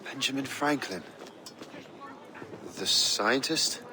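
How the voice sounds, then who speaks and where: An actor playing a brooding, conscientious young sea captain in a video game, speaking in a terse, grave way. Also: male voice, mid-range voice, slow, natural voice. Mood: concerned. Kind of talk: dramatic video game acting. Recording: clear ambient game noise behind.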